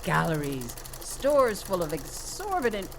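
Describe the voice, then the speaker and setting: A woman's voice: lowish voice, wildly swooping pitch, a little slow, full character voice. An actress playing a sardonic, fed-up New York woman in a comedic radio drama, wry and wisecracking. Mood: indignant. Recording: noisy rattling sewing-machine workshop ambience.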